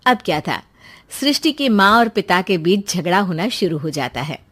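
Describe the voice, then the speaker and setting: A woman narrates a dramatic cartoon story from a script. Her pitch swings widely as she tells it, expressive and animated. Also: normal speed.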